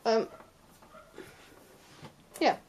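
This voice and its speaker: A woman's voice: very slow, a little mellow, slight background noise. Young woman doing an unscripted tech tutorial, kinda unsure and offhand, keeps trailing off. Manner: hesitant, offhand, trailing off into asides. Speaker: sound tech tutorial presenter. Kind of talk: informal unscripted hands-on tutorial.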